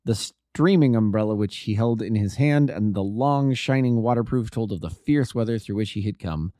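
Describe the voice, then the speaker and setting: A man reading literary fiction aloud as an audiobook narrator, in an expressive, deliberate storytelling style. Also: lowish voice, dry recording, studio quality.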